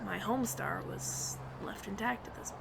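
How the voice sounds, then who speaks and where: A woman's voice: mid-range voice, gravelly, very noisy. A woman playing a flustered, smitten alien roommate who stammers nervously and earnestly in an intimate audio roleplay. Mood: tender, earnest. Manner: nervous stammering, halting, repeats words.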